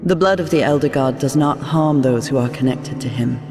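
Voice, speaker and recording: Loving otherworldly woman, creaky voice, gentle, measured, intimate, long reverb, game cutscene. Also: rumbling low. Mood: gently explaining. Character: serene, loving woman spirit speaking from beyond time. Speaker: character and narrator. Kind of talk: cinematic game voice acting. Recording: long reverb, music underneath.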